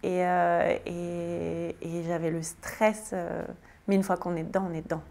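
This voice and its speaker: A woman's voice: fairly low pitch, level tone, unhurried, gravelly, darkish tone. A French woman in her thirties answering interview questions casually, with many hesitations and restarts. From France. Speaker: guest, tiny house builder.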